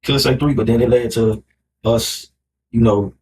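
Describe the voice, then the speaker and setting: A man's voice: mid-range voice, fairly even intonation, moderate pace, darkish tone, slight background noise, poor audio. A man in his thirties from Miami with an American accent, chatting casually to camera in a rambling, colloquial way peppered with filler phrases. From Miami, United States. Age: 30s. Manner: rambling, colloquial, frequent "you know" and "like". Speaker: vlogger telling relationship story.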